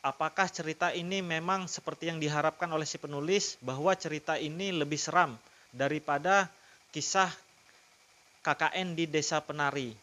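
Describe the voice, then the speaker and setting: A man narrating a horror story in a slow, savouring read-aloud, with his own commentary to viewers. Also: fairly high pitch, bright, forceful tone. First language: not Javanese; Javanese not fluent. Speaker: storytelling content creator. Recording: music clearly behind the voice. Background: background music.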